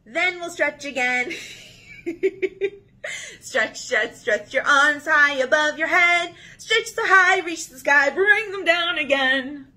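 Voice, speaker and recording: A woman's voice: high voice, takes it slowly, pushed and bright, a little hiss or hum. A woman in her thirties, a children's librarian reading aloud and leading rhymes in an encouraging, sing-song way. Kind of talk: children's storytime read-aloud and action rhyme. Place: small indoor room.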